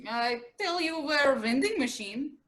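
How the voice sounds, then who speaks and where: A woman playing a terse, paranoid female soldier in a comic stage play, speaking in clipped, deadpan sentences without articles. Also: high-pitched, normal speed. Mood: guarded.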